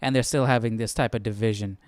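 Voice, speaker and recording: A man's voice: on the low side, fairly even intonation, quick pace, dry recording. A man giving casual, off-the-cuff commentary as host of a Bible study, questioning and conversational with repeated phrases.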